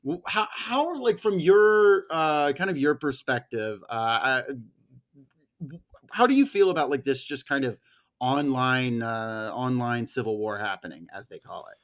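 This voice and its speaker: An American man hosting a casual political discussion, talking in a halting way with lots of filler words. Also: medium pitch, lively intonation. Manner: halting, filler-heavy, peppered with "like". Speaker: podcast host.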